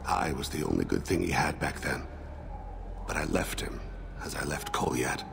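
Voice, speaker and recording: Very gravelly, solemn alien assassin. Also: male voice, extremely low pitch, noisy background. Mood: wistful, haunted. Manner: measured, poetic, formal diction. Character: soft-spoken, solemn male alien assassin, remorseful father. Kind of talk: video game voice acting.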